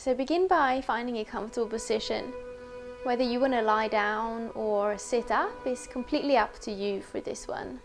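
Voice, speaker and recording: A woman teacher leading a guided meditation in a gentle, unhurried, conversational way. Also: on the high side, over music.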